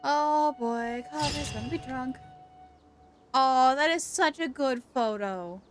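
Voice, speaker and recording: Animated high-voiced woman livestreaming. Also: slow pace. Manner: animated, exclamatory, chatty. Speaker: game livestreamer. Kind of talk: casual livestream chat, reacting to game and viewers. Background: game music.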